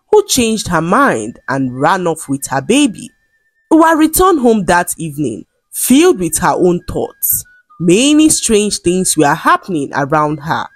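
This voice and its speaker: A woman narrates a scripted folktale in a measured, dramatic storytelling manner. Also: fairly low pitch, breathy edge, no echo. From Nigeria.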